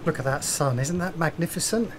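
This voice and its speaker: A man's voice: on the high side, brisk, heavy background noise. Chatty man narrating on a sailboat. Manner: relaxed, chatty commentary. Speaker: sailing vlogger. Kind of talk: casual on-camera narration. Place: outdoors on a sailboat deck at sea. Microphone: small clip-on mic.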